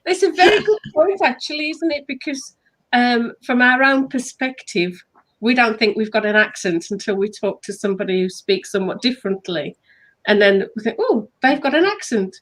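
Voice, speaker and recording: A woman's voice: a little high, expressive, moderate pace, a bit bright, rough recording. An English woman co-hosting a casual online chat, playful and fond of telling stories. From England. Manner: chatty, playful, storytelling. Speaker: author and hypnotherapist co-host.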